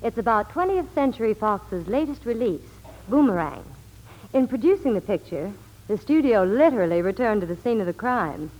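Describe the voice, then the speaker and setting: Lively woman radio reporter. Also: mid-range voice, normal speed, dull audio. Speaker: Hollywood reporter and soap pitchwoman. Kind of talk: scripted 1940s radio chat and advertising.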